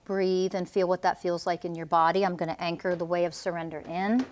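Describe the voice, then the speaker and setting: A woman's voice: fairly low pitch, flat delivery, fairly quick, darkish tone, a little hiss or hum. A woman energy healer guiding a client through a healing session, commanding and intense, with blunt swearing.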